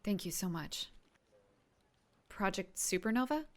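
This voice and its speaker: A woman's voice: mid-range voice, expressive, a little slow, close to an everyday voice. A woman playing an eager, earnest young new superhero in an audio drama. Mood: amazed, grateful. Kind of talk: scripted audio drama acting.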